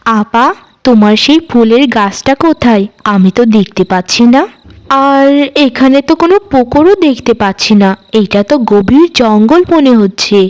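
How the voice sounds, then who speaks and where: A woman's voice: fairly high pitch, lively intonation, moderate pace, voice pushed down, close to an everyday voice. A woman voicing an innocent, obliging young girl, the trusting youngest sister in a cartoon fairy tale. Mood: puzzled, trusting.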